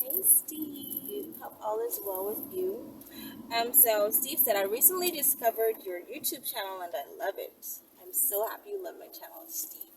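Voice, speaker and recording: Very loud vehicle noise surrounds a woman speaking at some distance from the microphone. Her voice is fairly high, and she talks like a casual video host, informal and rambling. She thinks aloud and often poses questions as she goes. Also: lively intonation, normal speed. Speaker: video host answering viewer requests. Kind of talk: casual rambling chat to camera.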